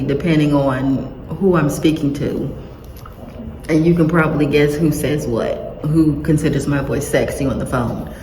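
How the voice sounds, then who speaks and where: A woman chatting casually in a self-filmed vlog, rambling with many fillers, in a monotone and slightly scratchy voice. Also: deep voice. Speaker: vlogger. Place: indoor room, cold. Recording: echoey room with long reverb, faint ambience.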